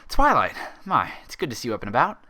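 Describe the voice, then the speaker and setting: A man's voice: mid-range voice, huge pitch swings, quick pace, pushed and bright, voice pushed up, heavily acted. A man voicing a stern female unicorn head nurse in a story reading, patronizing and controlled. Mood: syrupy sweet. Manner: patronizing endearments, cool professional tone.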